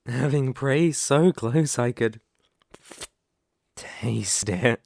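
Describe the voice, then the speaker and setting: A man's voice: mid-range voice, vocal fry, a little mellow, no room sound, clean studio sound. A man playing a sadistic, predatory lamia in an ASMR horror roleplay, taunting and menacing. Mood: gloating, taunting. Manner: taunting, menacing, slow deliberate delivery with gloating lilts. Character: sadistic, predatory male lamia, half-snake monster toying with prey.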